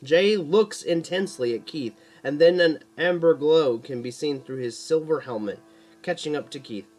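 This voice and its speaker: A man's voice: a little high, normal speed, darkish tone, soft background music, low-quality recording. A man reading his own book aloud in scripted narration, stumbling over and re-reading the odd word. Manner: stumbles and re-reads words.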